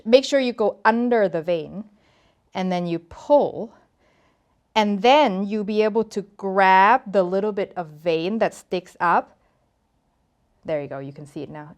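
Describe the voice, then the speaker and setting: A woman's voice: mid-range voice, darkish tone. A woman from Thailand hosting a casual cooking tutorial, talking to camera in a chatty, playful, emphatic way. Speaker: cooking show host.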